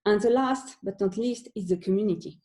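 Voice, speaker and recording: A woman with a French accent gives a webinar talk from home. Her delivery is halting, full of fillers and restarts. Her voice is mellow and warm at a middle pitch. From France. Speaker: conference speaker.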